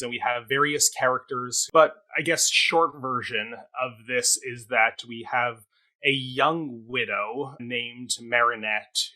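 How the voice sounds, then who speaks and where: A man's voice: medium pitch, pushed and bright, low-quality recording. A man with a Canadian accent chatting casually as a reviewer, rambling, hesitant and a little self-deprecating. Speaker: co-host and reviewer. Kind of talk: casual chat, improvised synopsis.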